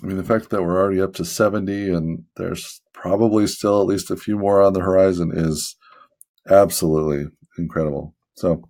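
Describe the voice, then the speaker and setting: A man with a low, gravelly voice talks in a relaxed, rambling way, full of fillers. His tone is soothing and he jokes about his own skill. It feels like easygoing chat to viewers over a drawing session. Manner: rambling, relaxed, self-deprecating, many fillers. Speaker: hobby artist vlogger. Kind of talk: casual talk while drawing.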